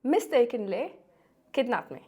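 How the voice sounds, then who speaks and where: A woman in her thirties, from Syria, talking as a podcast guest in a hesitant way with many pauses and restarts. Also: on the high side, very animated, breathy edge. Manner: soft-spoken, hesitant, with many ums and restarts. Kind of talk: casual interview talk.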